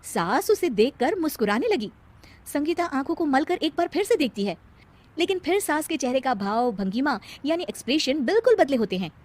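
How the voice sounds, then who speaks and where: A woman with an Indian accent narrating a cartoon tale as expressive scripted storytelling. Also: sits high, fairly quick.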